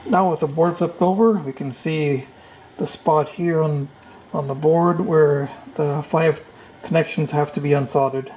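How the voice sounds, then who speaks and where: A man giving an unscripted, hands-on repair walkthrough, thinking aloud in a halting, tentative way. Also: on the high side, steady tone, darkish tone, a little hiss or hum, poor audio. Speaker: repair tutorial host.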